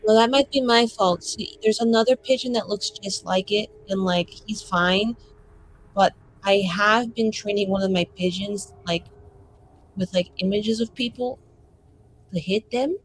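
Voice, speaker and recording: A woman's voice: mid-range voice, a little slow, a little hiss or hum, lightly acted, low-quality recording. A woman playing a mischievous, chatty young schemer. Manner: chatty, rambling, playful. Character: mischievous, casual woman who trains attack pigeons.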